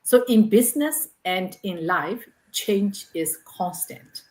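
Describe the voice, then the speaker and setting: A woman's voice: medium pitch, lively intonation, slow pace, a little mellow, rough recording. A woman hosting and moderating an online business panel, reading questions in an upbeat, scripted way. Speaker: host and moderator.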